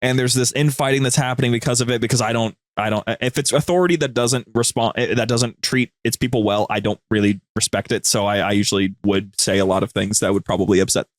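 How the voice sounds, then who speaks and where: A man with a mid-pitched voice tells stories as a podcast guest. He is informal and conversational, wandering through his anecdotes. The sound is dry, with little room around it. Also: fairly even intonation, fast, few pauses, no room sound. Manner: rambling, informal, conversational storytelling. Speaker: podcast guest, show producer. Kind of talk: casual anecdote-telling in an interview.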